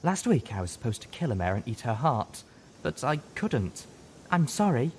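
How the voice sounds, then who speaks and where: A man's voice: sing-song, moderate pace, a little mellow, close to an everyday voice. A man voicing a timid, resigned young male pony in an audiobook, hesitant and subdued, with noise behind him. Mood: guilty, resigned. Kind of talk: audiobook character voice. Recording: noticeable background noise with low rumble.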